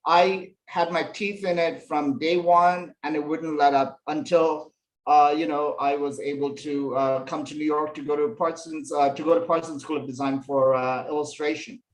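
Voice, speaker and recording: A man's voice: on the high side, fairly even intonation, moderate pace, rough recording. A man from Nepal, a fashion illustration professor, telling stories from his life as an interview guest, with lots of fillers. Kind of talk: relaxed storytelling interview answers.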